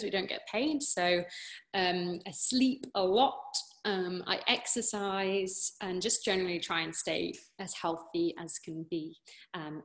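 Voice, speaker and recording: British woman, 20s, warm casual Q&A chat, webcam sound from a living room. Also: medium pitch. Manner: chatty, encouraging, many fillers and restarts. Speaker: opera singer answering questions. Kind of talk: casual online Q&A. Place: living room at home, indoors. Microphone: laptop webcam on a video call.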